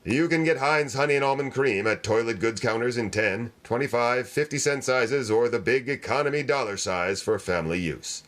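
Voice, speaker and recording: A man playing a 1940s radio announcer, reading scripted copy in a measured, salesmanlike way. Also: medium pitch, a bit bright, close to an everyday voice. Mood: reassuring pitch. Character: smooth-selling male 1940s radio announcer. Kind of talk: scripted radio advertisement read.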